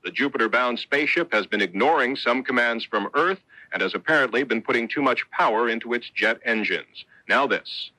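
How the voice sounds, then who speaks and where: A man's voice: mid-range voice, brisk, sharp tone, rough recording. An American man reading a scripted 1970s network radio newscast as a reporter. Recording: old 1970s radio broadcast.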